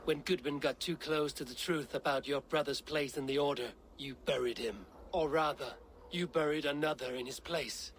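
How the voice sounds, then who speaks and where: An actor playing a stern, probing warrior investigating a murder in a video game. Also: male voice, on the high side, normal speed, a bit bright, a little hiss or hum, natural voice. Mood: stern, accusing. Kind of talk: video game dramatic acting.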